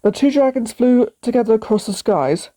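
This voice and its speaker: A woman reads a story aloud as the narrator. Her voice is fairly high and mellow, recorded dry, and she occasionally trips over words and starts them again. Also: lively intonation, moderate pace, dry recording.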